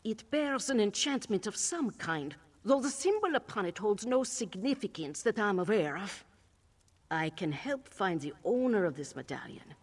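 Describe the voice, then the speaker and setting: A woman voicing a cryptic old witch in a video game, wry and measured in an archaic way of speaking. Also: mid-range voice. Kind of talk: scripted video game dialogue. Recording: long reverberant tail on the voice.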